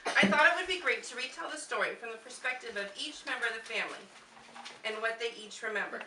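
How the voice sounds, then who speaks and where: A woman's voice: on the high side, animated, fast, crowd noise. A woman performing a scripted amateur family skit, recorded live at a gathering with noise behind her. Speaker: puppet show performer at a family reunion. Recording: distant, echoey, noisy home video of live show.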